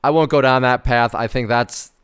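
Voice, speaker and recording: Clear-voiced man in his thirties, emphatic commentator. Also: medium pitch, fairly even intonation, normal speed, fairly bright tone. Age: 30s. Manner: conversational, emphatic, repeats words for stress. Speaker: host commenting on personal finance. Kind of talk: informal commentary on an article, partly read aloud.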